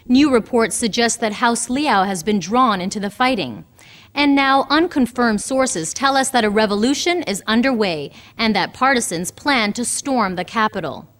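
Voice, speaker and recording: A woman's voice: fairly high pitch, a bit bright. A woman playing a serious war news reporter in a video game, reading a scripted report formally.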